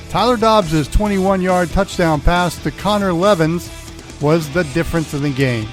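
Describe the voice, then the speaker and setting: An American man hosting a sports show, running through college football news and previews. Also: high-pitched. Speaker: sports radio show host. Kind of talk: sports round-up, partly scripted narration.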